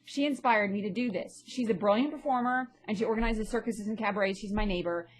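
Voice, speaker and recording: A woman's voice: mid-range voice, fast talker, low-quality recording. A woman talking bluntly and indignantly in a personal vlog, sounding a little stuffy from a sinus infection. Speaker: vlogger and actress. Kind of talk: ranting personal vlog monologue.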